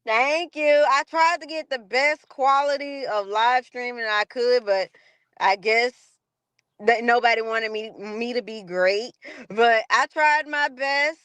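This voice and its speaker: A woman chatting casually as a livestream guest in a chatty, relaxed way. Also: a little high, slow pace, smooth, no room sound. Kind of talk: casual chat.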